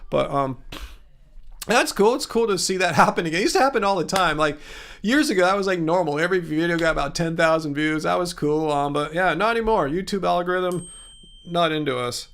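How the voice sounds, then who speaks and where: A man's voice: sits high, brisk, fairly bright tone. A middle-aged man hosting a livestream, reading chat comments and answering in a rambling, casual way with lots of fillers. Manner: chatty, rambling, many fillers and restarts.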